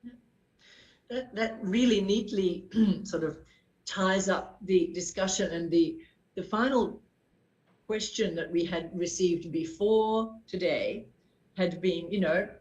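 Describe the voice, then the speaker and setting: A woman's voice: mid-range voice, slow pace, darkish tone. A woman moderating a panel discussion, recorded with a roomy, echoing sound.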